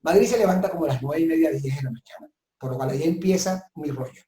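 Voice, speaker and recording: A man with a slightly high, mellow voice gives advice as an interview guest. He speaks with reflective emphasis and repeats words to drive points home. The sound is roomy and echoing. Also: fast, a little hiss or hum. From southern Italy. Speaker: business consultant, guest. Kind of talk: webinar interview, giving advice.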